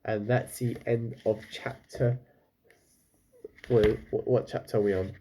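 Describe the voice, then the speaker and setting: A man's voice: on the low side, steady tone, unhurried, warm tone. A man reading a children's story aloud like a teacher, breaking off for casual questions and comments to his listeners.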